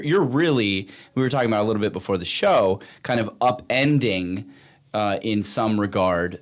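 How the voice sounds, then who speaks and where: A man's voice: on the low side, a bit bright. A man hosting a podcast in a casual, conversational way, rephrasing his questions as he goes. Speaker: podcast host.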